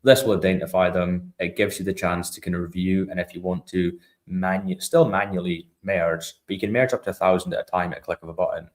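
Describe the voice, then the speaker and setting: A man's voice: sits low, fairly even intonation, fairly quick, few pauses. A man in a conversational product walkthrough as an interview guest, explaining things informally and checking in with small tag questions.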